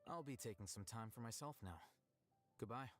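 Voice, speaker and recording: An actor playing an aloof, bookish young male scholar in a video game, speaking in a measured, precise, deadpan way. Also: mid-range voice, dry recording, soft background music, close to an everyday voice. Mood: dry, indifferent. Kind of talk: scripted video game voice lines.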